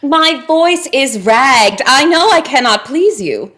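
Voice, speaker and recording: A woman playing a modest forest singer in a Shakespearean radio play, gentle and self-deprecating. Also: sits high, very animated, takes it slowly, full character voice. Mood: modest, amused.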